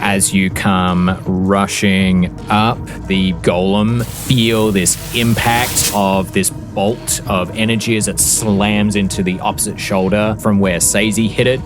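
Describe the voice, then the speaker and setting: A low-pitched man runs a tabletop fantasy game as its game master. He tells the action in a theatrical, descriptive way, with music clearly playing behind him. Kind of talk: dramatic tabletop game narration.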